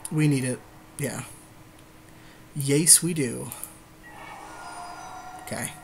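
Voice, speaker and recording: A man's voice: medium pitch, steady tone, drawn-out words, creaky, slight background noise. A man co-hosting a gaming podcast with casual, slangy commentary and short conversational replies. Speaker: podcast co-host.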